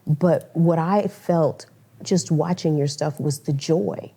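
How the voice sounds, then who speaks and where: A woman's voice: low-pitched, slow, warm tone, breathy edge. A woman interviewer who is affirming and encouraging, with a habit of quoting scripture.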